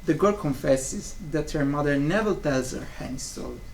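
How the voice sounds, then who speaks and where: A man's voice: on the high side, lively intonation, moderate pace, creaky. A young man reading scripted narration of a stage play. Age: young adult. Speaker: student narrator of a play summary. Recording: faint room noise.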